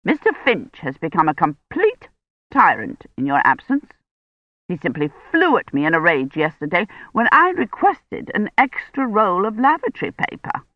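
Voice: medium pitch, wildly swooping pitch, moderate pace, no room sound, muffled recording. A narrator voicing a haughty, self-righteous older woman who scolds in a pompous, indignant way.

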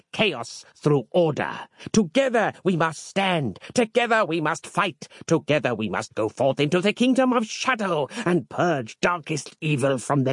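A man's voice: fairly high pitch, a bit bright, husky, no room sound, studio quality, voice pushed up, heavily acted. A man voicing a pompous, archaic warrior-monk who speaks in a bombastic, sermonizing way. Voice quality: theatrical, archaic speech.

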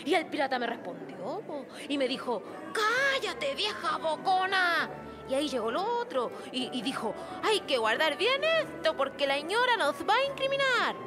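A woman's voice: high-pitched, sing-song. A woman acting a gossipy, indignant Chilean village woman in a radio play, telling things in a colloquial, dramatic way. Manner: colloquial, dramatic, drawn-out storytelling. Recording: music behind the voice.

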